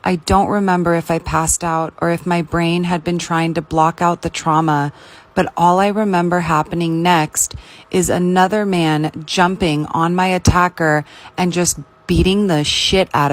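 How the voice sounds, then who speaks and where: Female narrator, lowish pitch, unhurried, plain matter-of-fact reading of scripted confessional story.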